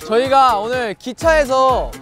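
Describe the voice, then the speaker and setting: A man's voice: extremely high pitch, a little slow. A young man guiding his group on a trip, teasing and upbeat in casual chat on a small headset mic, partly outdoors. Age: young adult. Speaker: tour guide among group members. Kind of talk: playful group variety chat. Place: outdoors at a station and on a train. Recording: music bed behind.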